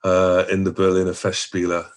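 A man's voice: low-pitched, monotone, moderate pace. A man hosting a podcast interview, asking long winding questions with enthusiasm and laughter.

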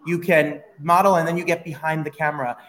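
A man's voice: on the high side, fairly even intonation, fairly quick, fairly bright tone, poor audio. Encouraging teacher interviewing for his class. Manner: encouraging, explains terms for students. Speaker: musical theatre teacher and host.

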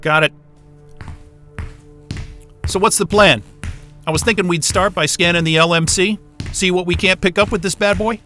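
An actor playing an irreverent, wisecracking astrophysicist in a sci-fi audio drama, with a sarcastic, informal and exasperated way of talking. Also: male voice, high-pitched, fairly even intonation, normal speed, long pauses, pushed and bright, soft background music. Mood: agreeable. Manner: sarcastic, informal, hesitant muttering and outbursts.

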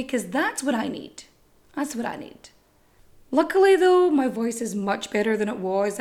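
A woman hosting a history podcast, telling stories in a chatty, swearing, self-mocking way, her voice still a little croaky. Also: fairly high pitch, a little mellow. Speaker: podcast host. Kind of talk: casual comic monologue.

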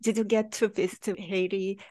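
A woman's voice: mid-range voice, level tone, normal speed, warm tone, no room sound. A woman hosting a podcast interview, asking questions and giving short encouraging replies. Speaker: podcast host.

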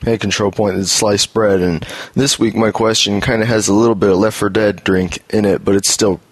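A male caller with a lowish, rough-edged voice leaves an informal message for a show. The sound is close and dry, with no room echo. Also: fairly even intonation, moderate pace. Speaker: podcast listener calling in. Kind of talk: casual recorded message.

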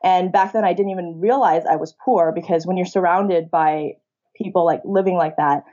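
A woman's voice: fairly low pitch, normal speed, mellow. A woman, chatting casually as a podcast guest over a remote recording. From born in rural China, moved to Canada as a child. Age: 30s. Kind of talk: casual interview chat. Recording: dull, lo-fi remote audio.

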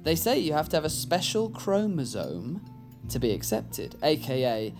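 A tired, teasing uncle in an audio drama. His intonation leaps around a great deal while staying easygoing. Music plays clearly behind him. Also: a little high, very animated, moderate pace. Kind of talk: naturalistic audio drama dialogue.

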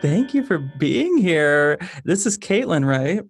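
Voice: mid-range voice, sing-song, takes it slowly, loud music behind the voice. A podcast host chatting casually while interviewing a guest.

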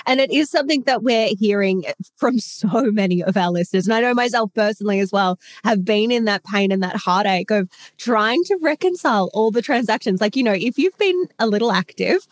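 A woman hosting a podcast interview, her voice a little croaky from illness, chatty and upbeat. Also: mid-range voice, lively intonation, fairly quick, dry recording, clean studio sound. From Australia. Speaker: podcast host. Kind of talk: casual interview.

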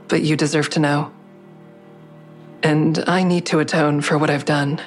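Audio drama lead, a weary, confessional woman: low, deliberate, blunt, with faint music behind. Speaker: narrator and lead actor. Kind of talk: audio drama first-person narration and dialogue.

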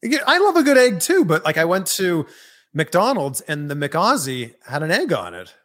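Playful interviewer, lively pitch. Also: moderate pace. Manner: playful, teasing questions. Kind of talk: casual chat.

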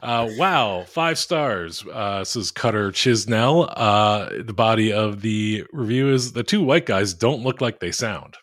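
Podcast guy, flat monotone, rambly self-mocking jokes, dry close sound. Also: on the low side, takes it slowly, few pauses, dry recording. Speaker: podcast co-host. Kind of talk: casual podcast chat.

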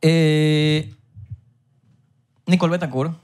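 Joking Venezuelan man, slightly high voice. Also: steady tone, drawn-out words, a little mellow, smooth. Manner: joking, slangy, laughing between lines. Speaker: podcast host. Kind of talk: live podcast banter before an audience. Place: live show venue.

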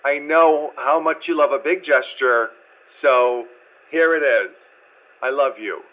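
A man's voice: medium pitch, takes it slowly, lo-fi sound, lightly acted. An actor playing an exasperated, emotional divorced man, emphatic and theatrically wounded, in comic audio drama. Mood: pleading. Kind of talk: comedic audio drama dialogue.

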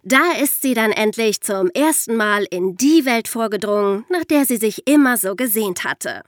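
A woman co-hosting a scripted true-crime podcast, narrating in a lively, lightly playful way. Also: fairly high pitch, normal speed, dry recording, clean studio sound. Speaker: podcast co-host.

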